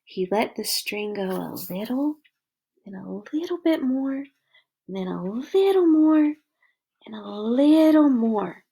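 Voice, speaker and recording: A woman storyteller reading a children's story aloud, expressively, with deliberate dramatic emphasis. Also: a little high, expressive, vocal fry, dark tone, husky.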